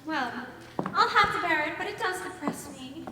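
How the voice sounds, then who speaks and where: A woman's voice: extremely high pitch, lively intonation, moderate pace. A woman playing a vain, gushing socialite actress in a stage comedy, with grand, affected delivery and lavish endearments. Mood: languid, petulant. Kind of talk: stage comedy acting, projected and theatrical. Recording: echoey hall from far off, faint noise.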